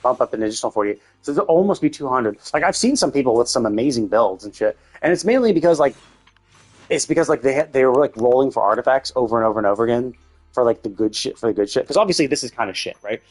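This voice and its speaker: A man's voice: medium pitch, animated, quick pace, soft background music. A man chatting casually on a gaming livestream in a rambling, conversational way, with loose, casually profane talk. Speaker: live streamer.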